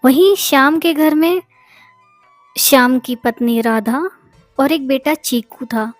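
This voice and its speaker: High-pitched woman narrating a story. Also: slow, no room sound. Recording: music behind the voice.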